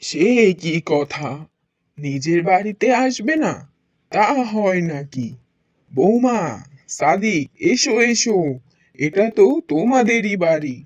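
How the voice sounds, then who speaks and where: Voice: mid-range voice, animated, slow pace, long pauses, dry recording. Someone voicing a sly old caretaker guy, fawning and greedy, a bit muffled and dry. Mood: welcoming. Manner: fawning, then confessing villain. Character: old male caretaker, greedy scheming villain. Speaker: cartoon character voice.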